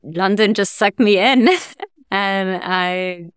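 A woman who grew up in Germany, a guest in a casual interview, relaxed and laughing easily as she talks. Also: medium pitch, takes it slowly, clean tone, dry recording, studio quality. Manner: relaxed, laughs often, uses fillers like "like". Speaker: agency cofounder, guest.

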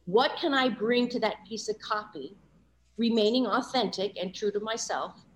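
A woman's voice: a little high, normal speed, slight background noise, low-quality recording. A woman voice-over coach speaking as an interview guest, candid and reflective, often adding "you know" as she talks. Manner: earnest, reflective, many "you know" asides.

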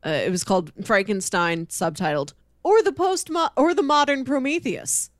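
A woman's voice: a little high, moderate pace, no echo. Chatty woman, close dry sound. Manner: chatty, informal storytelling. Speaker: podcast co-host. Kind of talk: casual chat recommending shows.

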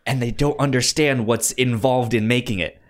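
A man co-hosting a podcast, chatting casually about films in a halting way, often stumbling over and repeating words. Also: mid-range voice. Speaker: podcast co-host. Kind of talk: casual film discussion chat.

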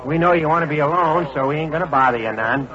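A man plays a folksy, kindly townsman with a fairly high voice and fatherly manner. It is 1940s radio drama, dull in sound, with a crowd clearly behind him. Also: fast, lightly acted. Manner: folksy, plain-spoken.

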